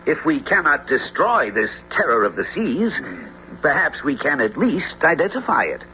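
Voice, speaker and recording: A high, forceful American government official. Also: male voice, very animated, normal speed. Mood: grave, formal. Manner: formal, emphatic, persuasive. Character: earnest, insistent American Secretary of the Marine. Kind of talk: radio drama acting. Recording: dull, noisy radio drama recording.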